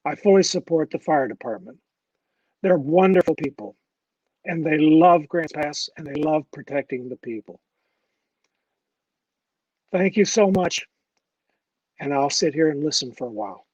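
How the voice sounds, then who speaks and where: An older man and mayoral candidate giving a halting, earnest forum speech, often restarting his words. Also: a little high, a little mellow, dry recording, distant mic, low-quality recording. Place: public forum room.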